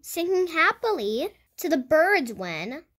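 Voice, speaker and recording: A woman reading a picture book aloud to children in an animated storytelling style. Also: high voice, takes it slowly. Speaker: children's storybook reader and host. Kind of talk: animated read-aloud narration for kids.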